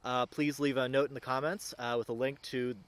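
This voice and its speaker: A man's voice: medium pitch, level tone, brisk, fairly bright tone. A man hosting a casual on-location vlog outdoors, commenting on a hands-on experiment. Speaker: host running a drone antenna experiment.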